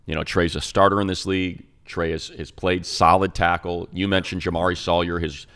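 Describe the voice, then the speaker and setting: A man's voice: sits low, level tone, a little fast, a bit bright, smooth. A middle-aged man who is a radio play-by-play announcer, talking through football analysis in a conversational, emphatic way with many fillers. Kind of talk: casual sports podcast analysis.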